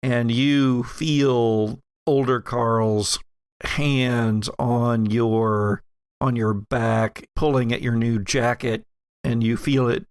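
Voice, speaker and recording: A man narrating a tabletop horror game as game master, with halting, descriptive storytelling. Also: medium pitch, steady tone, clean tone, no room sound, clean studio sound.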